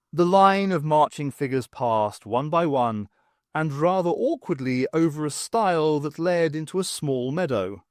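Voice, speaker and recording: A man narrating an audiobook in a measured, unhurried storytelling manner, close to the mic. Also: fairly high pitch, darkish tone, dry recording.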